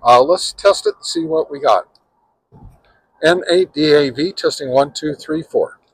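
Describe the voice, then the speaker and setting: A man in his sixties hosting a casual radio-testing vlog, talking in a relaxed, halting way with frequent pauses and restarts, and counting off test calls in a steady cadence. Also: fairly high pitch, husky, low-quality recording. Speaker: ham radio channel host.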